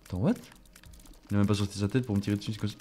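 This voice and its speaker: A man's voice: fairly low pitch, steady tone, racing, dark tone, slight background noise. A French-speaking man casually commenting on his own gameplay, chatty and self-mocking, swearing under his breath. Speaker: gaming streamer. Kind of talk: casual let's play commentary.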